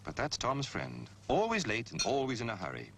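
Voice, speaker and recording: A British man giving an instructive, measured narration in the style of a 1960s public information film, with clear phrasing. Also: medium pitch, huge pitch swings. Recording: noisy 1960s film soundtrack.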